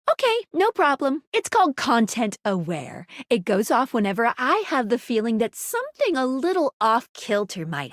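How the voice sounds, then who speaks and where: A high, perky game guide. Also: female voice, no room sound, studio quality, full character voice. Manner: chirpy, informal, playful. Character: perky, slangy, helpful female game guide. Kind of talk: video game character acting.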